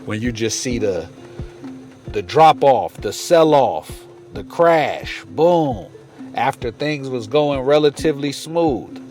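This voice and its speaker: A man's voice: mid-range voice, lively intonation, slow, a little mellow, faint music behind. A man hosting an explanatory lesson, reading material aloud and commenting informally, emphatically repeating key phrases and speaking straight to viewers. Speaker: trading educator, channel host.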